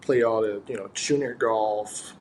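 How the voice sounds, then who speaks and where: A man's voice: lowish voice, measured pace, darkish tone, slight background noise, rough recording. A man from Thailand, a sports coach chatting casually as an interview guest. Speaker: golf coach, podcast guest.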